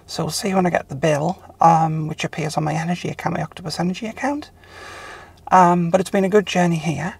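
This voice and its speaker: A woman's voice: lowish voice, a little fast, gravelly, a little mellow, slightly rough, dry recording. A middle-aged British woman chatting casually and a little hesitantly to camera as a vlogger inside a car. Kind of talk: casual vlog talk to camera. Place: inside a car, parked or driving. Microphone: camera's built-in mic or camera at arm's length. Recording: distant built-in camera mic in car.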